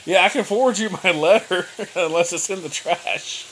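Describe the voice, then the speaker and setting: A man's voice: high-pitched, moderate pace, a bit bright, a little hiss or hum. Joking man in his thirties. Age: 30s. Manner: joking, storytelling banter. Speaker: channel host. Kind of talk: casual livestream chat.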